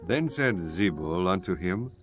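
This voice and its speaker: A man narrating a dramatized Bible audiobook in measured, solemn storytelling with archaic diction. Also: low-pitched, big pitch swings. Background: prominent music.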